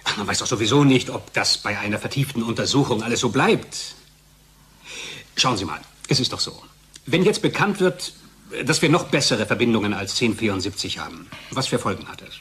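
An actor playing a pragmatic, calculating company colleague in a German radio drama, with a cajoling, conversational manner. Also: male voice, medium pitch, animated, fairly quick, a bit bright, slight background noise, lightly acted. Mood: confiding, cajoling. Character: pragmatic, persuasive male pharmaceutical company colleague, smoothly calculating.

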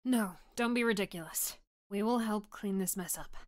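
A woman's voice: medium pitch, normal speed, lightly acted. A woman playing a supportive, no-nonsense friend in an audio drama, direct and reassuring. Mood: decisive. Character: Stacy, supportive, no-nonsense young female friend.

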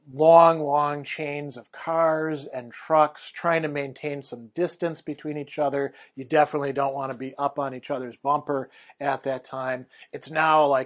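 A middle-aged man telling a personal story to camera, conversational and animated, with many fillers, recorded on a lapel mic in a small room. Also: a little high. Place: small room in front of a plain wall.

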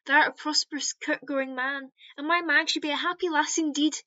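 A woman's voice: high voice, steady tone, normal speed, bright, forceful tone, muffled recording. A woman playing a doting, kindly old widowed country mother with a broad rustic Scottish accent in a farcical radio play. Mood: surprised, doting.

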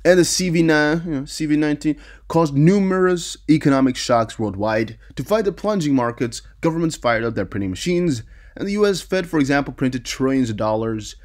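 A man's voice: fairly high pitch, animated, brisk, darkish tone. A young man whose first language is Dutch, casually reading and commenting on articles, often stumbling and repeating words. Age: young adult. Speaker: crypto news youtuber.

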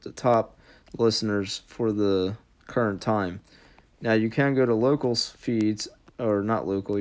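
A man's voice: medium pitch, smooth. A man giving a casual how-to voice-over as the host of an app tutorial.

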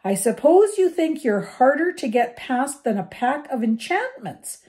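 A woman's voice: on the high side, big pitch swings, moderate pace, dark tone, voice pushed up. A woman voicing a stern, strict older female professor in a storybook reading, with a clipped, indignant manner. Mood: angry.

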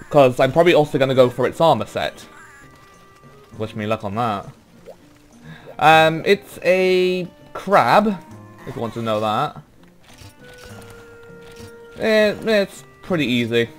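A young man with a Welsh accent chats loosely over his gameplay at a middling pitch, drifting between thoughts and filling gaps with ums. Faint game music sits under his voice. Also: very animated, takes it slowly, long pauses. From Wales. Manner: rambling, laid-back, trails off with ums. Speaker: gaming YouTuber. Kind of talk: casual let's play commentary.

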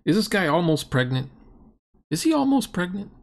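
A man's voice: high-pitched, very animated, moderate pace, gravelly. A middle-aged man giving blunt, mocking commentary as he reacts to a game, in a sarcastic manner. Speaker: host reacting to a recorded roleplaying game.

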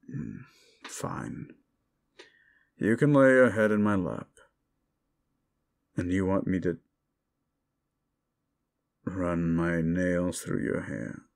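A man's voice: sits low, extremely slow, vocal fry, pauses often, exaggerated voice. A man playing a smug, sardonic fallen-angel demon in an intimate roleplay audio drama, talking with condescending, mocking exasperation. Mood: grudging, sardonic.

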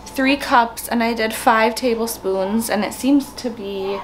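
A woman with a raspy, just-up voice talks casually through her day for a family vlog. She takes her time, and some room noise sits behind her as she films indoors on a handheld camera. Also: a little high, unhurried, poor audio. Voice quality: raspy morning voice. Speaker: family vlogger and mother. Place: rooms at home, indoors. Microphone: camera at arm's length, sometimes set down.

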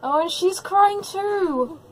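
A woman's voice: extremely high pitch, slow pace. A woman giving live, unscripted reaction commentary, exclaiming and gushing as she narrates what is happening. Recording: music playing behind the commentary.

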